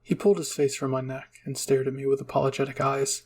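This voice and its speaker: A man narrating first-person fan fiction as a roleplay reading, in a soft, dramatic storytelling manner. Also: medium pitch, level tone, fast talker.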